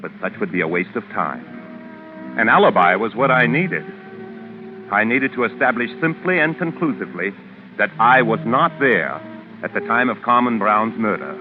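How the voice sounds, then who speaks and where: An actor playing a proud, calculating New York criminal lawyer with a guilty secret, narrating in a measured, articulate 1940s radio drama style. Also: male voice, lowish voice, big pitch swings. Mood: wry, calculating. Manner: measured, articulate, self-important. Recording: narrow, muffled vintage radio recording with music.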